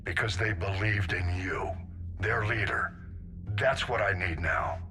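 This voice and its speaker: An actor playing a gruff, seasoned older navy admiral in a video game, blunt and authoritative. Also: male voice, deep voice, unhurried, over music. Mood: earnest, rousing. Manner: blunt, authoritative military briefing delivery. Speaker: military admiral. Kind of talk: scripted video game acting.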